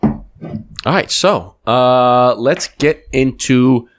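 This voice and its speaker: A man's voice: medium pitch, animated, very slow, soft background music. A man hosting a podcast, reading the channel plugs and chatting casually with his co-host. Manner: laid-back, swears casually. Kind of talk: casual chat. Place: studio table with a co-host.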